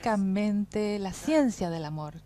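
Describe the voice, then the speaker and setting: A woman's voice: medium pitch, expressive, normal speed, mellow. A woman interpreting a conference talk, following the speaker phrase by phrase. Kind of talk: consecutive interpreting.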